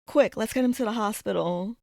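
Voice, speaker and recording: A woman's voice: medium pitch, fairly quick, vocal fry, mellow, no echo, clean studio sound, natural voice. A woman playing an eager, headstrong young Amazon princess in a 1940s-style radio serial, earnest and urgent. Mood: excited, urgent.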